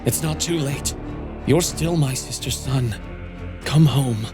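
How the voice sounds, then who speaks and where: An older merchant of firm principle, played by a male narrator in a fantasy storybook tale. He speaks earnestly and with resolve, over clearly audible music. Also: medium pitch, takes it slowly, voice pushed up, natural voice. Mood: desperate. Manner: steady, earnest, defiant. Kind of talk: fantasy audio story character acting.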